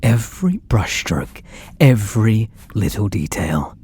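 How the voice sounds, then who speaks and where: A British man voicing an obsessed, possessive artist and menacingly tender lover in a breathy, theatrical ASMR roleplay, drifting into whispers. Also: fairly low pitch, very animated, measured pace, darkish tone, exaggerated voice. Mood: possessive, intimate. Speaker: audio roleplay voice actor.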